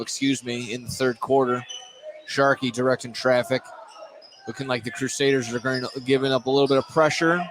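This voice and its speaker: A man giving live play-by-play commentary as a basketball announcer. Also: mid-range voice. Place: indoor gym at a basketball game. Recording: loud gym noise behind the voice. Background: loud game ambience.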